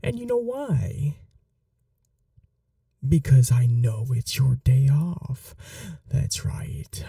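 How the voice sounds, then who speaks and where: A man playing an eager, awkward young supervillain in an ASMR roleplay, rambling to the listener with sheepish boasts and breathy asides. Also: mid-range voice, mellow, no echo, studio quality, far from the mic, exaggerated voice. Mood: smug, teasing. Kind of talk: ASMR roleplay audio drama, addressing the listener.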